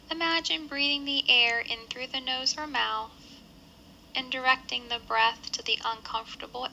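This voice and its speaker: A woman leading a guided body scan meditation with measured, gentle instructions and pauses between phrases. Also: on the high side, vocal fry, slight background noise, low-quality recording.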